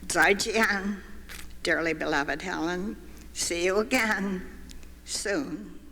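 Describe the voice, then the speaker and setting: An older man giving a measured memorial tribute, reading prepared remarks in a church. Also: sits high, bright, forceful tone, a little hiss or hum. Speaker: eulogy speaker. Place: church sanctuary. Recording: long church hall reverb.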